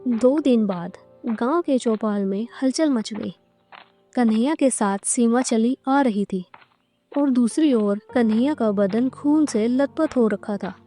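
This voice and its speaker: Female narrator for cartoon stories: warm, rounded tone, a little high, scripted delivery. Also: slow pace, faint music behind.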